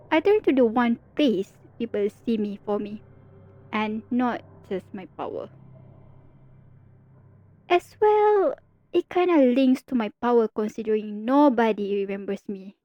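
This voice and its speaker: A woman's voice: on the high side, expressive, measured pace, dull audio. A woman performing an ASMR roleplay as a teasing female villain talking to her rival hero. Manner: teasing, playful, confiding.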